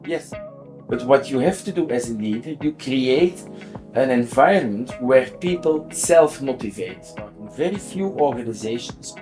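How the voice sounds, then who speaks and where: Male executive guest, 60s, Belgian accent, measured explanatory delivery, mid-range pitch. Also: animated, music in the background, rough recording. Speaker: interview guest, company CEO. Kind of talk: explanatory interview conversation.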